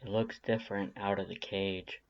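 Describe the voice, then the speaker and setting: A man voicing a laid-back, sly skeleton monster in an audio story, murmuring in a deadpan way. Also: normal speed, muffled recording, natural voice. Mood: quiet, wry. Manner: low-key, deadpan, murmuring.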